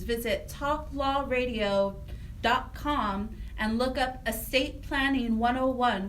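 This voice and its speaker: A woman's voice: a little high, fairly bright tone. A woman co-hosting a radio show, speaking in an announcer's style as she gives out information. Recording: distant mic, faint noise.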